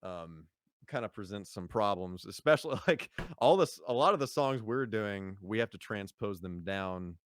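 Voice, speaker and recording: A man with a low voice, a podcast guest talking casually, rambling with fillers and easy laughs. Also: expressive, normal speed, clear, resonant tone, dry recording.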